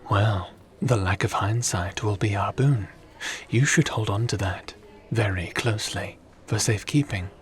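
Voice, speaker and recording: A man's voice: on the low side, a little slow, natural voice. A man playing a courteous, scholarly traveling sage in a fantasy audio roleplay, speaking formally and thoughtfully. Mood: concerned. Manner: polite, pondering, formal.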